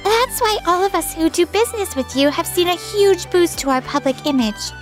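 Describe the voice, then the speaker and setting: Woman voicing a very high-pitched earnest businesswoman. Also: expressive, normal speed, faint music behind. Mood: earnest, polite. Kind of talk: manga dub voice acting.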